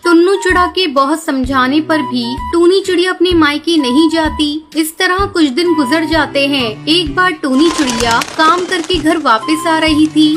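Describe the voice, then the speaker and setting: Very high female voice, storytelling narrator, children's tale, music clearly underneath. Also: unhurried, hardly any pauses.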